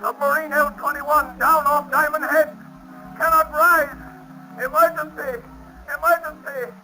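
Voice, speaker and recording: A man's voice: extremely high pitch, flat delivery, a little slow, bright, forceful tone, faint music behind, full character voice, poor audio. An actor playing a distressed submarine radioman calling for help in a 1930s radio drama. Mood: urgent, desperate. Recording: old 1930s radio recording.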